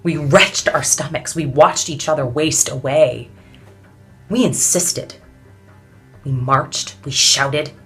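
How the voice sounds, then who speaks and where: An actress playing a weary, defiant suffragette in an intense, deliberate theatrical monologue with heavy pauses. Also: female voice, very deep voice, soft background music, heavily acted. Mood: defiant, impassioned. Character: weary, defiant suffragette woman recalling hunger and beatings.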